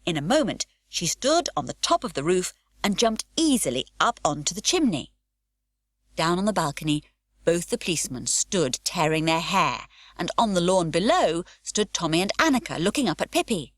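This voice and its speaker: Lively woman reading a storybook. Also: fairly low pitch, moderate pace, no echo, clean studio sound. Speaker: audiobook narrator. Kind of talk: scripted storybook narration.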